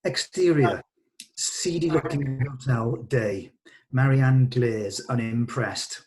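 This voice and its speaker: A man's voice: medium pitch, slow, a little mellow, poor audio. A man giving a scripted narration of screenplay stage directions in a read-through over a video call. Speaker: reader of stage directions, running the rehearsal.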